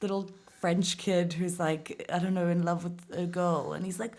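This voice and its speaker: A woman with a deeper voice, a voice actress, chatting casually as a podcast guest and saying "like" a lot. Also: fairly even intonation, moderate pace, a little mellow. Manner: chatty, heavy use of "like". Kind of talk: casual chat.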